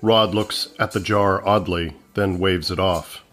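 A man's voice: deep voice, steady tone, measured pace, fairly bright tone. A man narrating a comedy script, reading out the stage directions.

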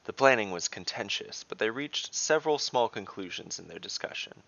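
A man's voice: on the low side, expressive, normal speed, gravelly, fairly bright tone, highs cut off. A man narrating a scripted fantasy tale as dungeon master, with theatrical, descriptive storytelling.

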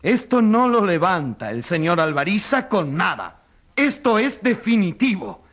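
A man's voice: sits high, big pitch swings, measured pace, fairly bright tone, full character voice. A man playing a righteous, insistent journalist who pleads emphatically in a radio courtroom drama. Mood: triumphant. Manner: emphatic, theatrical. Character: righteous crusading male journalist, insistent and defiant.

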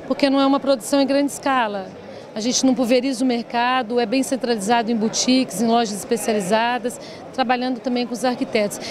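A Brazilian woman in her forties speaks in a slightly high voice as an interviewee. She talks like a designer presenting her company at a trade fair. A clear crowd bustle sits behind her. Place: open stand in a large indoor exhibition hall. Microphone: handheld reporter microphone held by interviewer. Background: crowd chatter of a trade fair.

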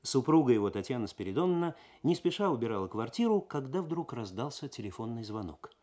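Expressive male radio story narrator. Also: mid-range voice, a little fast. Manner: expressive, actorly, suspenseful. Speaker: narrator, reader. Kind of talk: dramatic literary narration, 1970s Soviet radio.

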